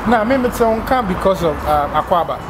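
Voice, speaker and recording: A man in his thirties with a Ghanaian accent hosting an interview, prompting briskly and echoing his guest's answers. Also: sits high, animated. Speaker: interviewer, show host. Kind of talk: interview questioning. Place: small studio set, indoors. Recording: loud background noise.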